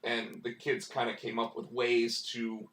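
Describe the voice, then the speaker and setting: Hoarse man chatting in a roomy space. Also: mid-range voice, monotone, a little slow, a bit bright, recorded from a distance, slight background noise. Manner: rambling, many restarts and "like" fillers. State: losing his voice, hoarse. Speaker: comic book writer and stream host. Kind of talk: casual live-stream chat.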